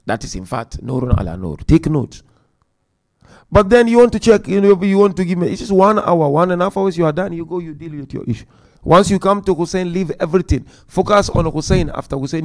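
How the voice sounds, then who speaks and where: A man delivers a religious lecture at a mourning gathering, working through numbered points and now and then chanting scripture quickly. His voice sits a little high and has a gravelly edge. He swings between loud emphasis and quiet asides. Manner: counts points aloud, chants Quran verses quickly. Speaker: Muharram majlis speaker.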